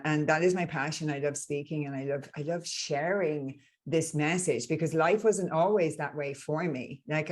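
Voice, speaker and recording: A woman in her forties with an Irish accent from Dublin, an earnest, upbeat coach talking as a podcast guest. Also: low-pitched. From Ireland. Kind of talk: conversational interview.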